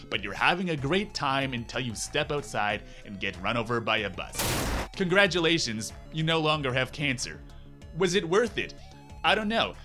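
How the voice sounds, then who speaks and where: A man's voice: medium pitch, sharp tone. A man in his twenties delivering a sarcastic, exasperated scripted review rant as a video host, close on the mic. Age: 20s. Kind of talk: scripted comedic review commentary. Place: small room at home, indoors. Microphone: close desk microphone.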